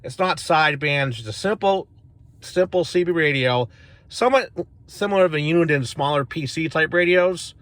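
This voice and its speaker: A man's voice: fairly high pitch, normal speed, fairly bright tone, no room sound. A middle-aged American man giving a casual, off-the-cuff gear demonstration in a plain-spoken, rambling, opinionated way. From northern United States. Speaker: CB radio enthusiast reviewing gear. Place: inside a parked car.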